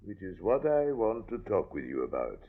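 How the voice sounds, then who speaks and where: An actor playing a stern, skeptical middle-aged father with measured, dry, archaic speech in a 1950s radio drama. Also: fairly low pitch, very animated. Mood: solemn. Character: stern, wealthy, skeptical father of a grown son. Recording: dull old 1950s radio recording.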